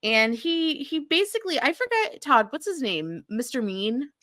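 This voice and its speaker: A woman's voice: high-pitched, sing-song, moderate pace, bright, forceful tone, no echo, studio quality. A woman chatting casually and bluntly as a podcast host recapping television.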